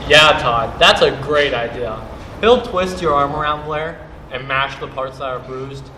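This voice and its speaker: A wry, joking high school jock acted by a man in a school play. He draws out his comic lines slowly on a noisy, echoey stage. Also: lightly acted. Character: joking, battered high school football player. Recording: echoey stage with clear noise.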